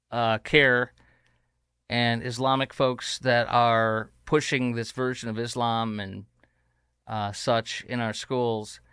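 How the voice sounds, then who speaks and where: A man's voice: medium pitch, pushed and bright, clear, resonant tone, dry recording, clean studio sound. A man hosting an opinionated talk show, combative and sarcastic in tone. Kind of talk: opinionated commentary.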